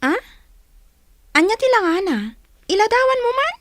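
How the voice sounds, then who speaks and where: Woman as a high-voiced Ilocano big sister. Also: big pitch swings, moderate pace, fairly bright tone, slight background noise, full character voice. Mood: teasing. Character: older sister, a teasing village girl. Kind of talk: Ilocano radio drama acting.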